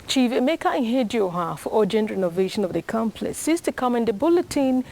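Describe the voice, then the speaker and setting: A woman's voice: on the high side, a little mellow, rough recording. A woman with a Nigerian accent reading formal scripted television news as a presenter.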